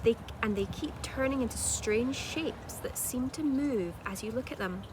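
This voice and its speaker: Higher-voiced woman reading a children's story. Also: animated, a little slow. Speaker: primary school teacher reading aloud. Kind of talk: expressive children's storybook narration. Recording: clearly noisy home-made recording.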